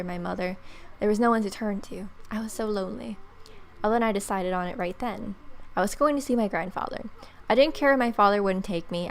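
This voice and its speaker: A woman's voice: mid-range voice, a bit bright. A young woman reading visual novel narration aloud as a let's player. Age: young adult. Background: game music.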